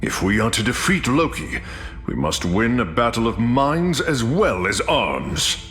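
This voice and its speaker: A man's voice: mid-range voice, big pitch swings, a little slow, fairly bright tone, faint music behind. A man playing a proud, noble warrior god of thunder in a video game, with stately, archaic, declamatory delivery. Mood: solemn, proud. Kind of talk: theatrical video game voice acting.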